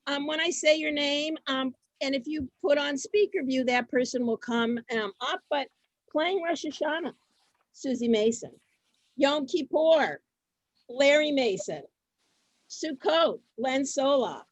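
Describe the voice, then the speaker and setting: A woman hosting an online event over a video call, chatting informally and haltingly, often repeating her phrases. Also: high voice, expressive, no room sound, highs cut off. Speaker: host of an online reader's theater event.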